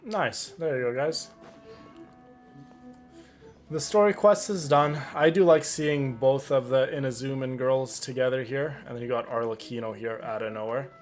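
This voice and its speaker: A man's voice: medium pitch, steady tone, normal speed, faint music behind. A man from Canada chatting casually as a streamer, joking and rambling over gameplay.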